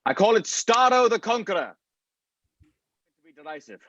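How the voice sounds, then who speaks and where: A man's voice: high voice, very animated, normal speed, fairly bright tone, dry recording, lo-fi sound, exaggerated voice. A man playing a cynical, condescending scientist with a foul mouth in a script table read. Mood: cool, mocking. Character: cynical, derisive scientist called the Thinker, contemptuous and callous.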